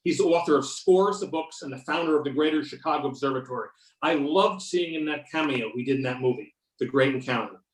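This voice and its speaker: A man's voice: mid-range voice, quick pace, lightly acted. A man playing an earnest, argumentative agent who believes in UFOs, reading in an amateur readers theater over a video call. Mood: keen, insistent. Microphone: video or conference call. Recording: roomy, distant video-conference microphone.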